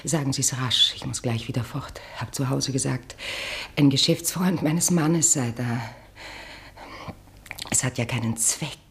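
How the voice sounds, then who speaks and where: An actress playing a nervous, self-righteous married woman with a halting, clipped delivery in a 1960s German radio drama. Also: very deep voice. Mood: flustered, evasive. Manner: halting, clipped, dropping into breathy asides.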